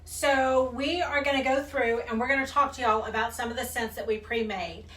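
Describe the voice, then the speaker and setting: Woman co-host keeping the stream on track, highish voice, echoey room, off mic. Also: steady tone, brisk, a bit bright, recorded from a distance. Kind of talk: casual live-stream chat.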